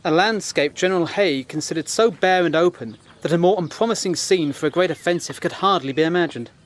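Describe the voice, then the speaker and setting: A man plays a British newspaperman of the Great War in an audio drama. His voice sits fairly high for a man, and he speaks with earnest, articulate scepticism and a dry, challenging edge. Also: a little fast, lightly acted. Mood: grave. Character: principled, sceptical British First World War correspondent. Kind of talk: period audio drama acting.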